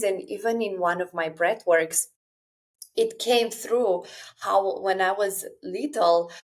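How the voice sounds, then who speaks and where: Romanian woman in her 30s, solo podcast host, confiding and earnest, mid-pitched voice. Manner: earnest, confiding, speaking directly to listeners. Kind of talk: solo personal podcast monologue.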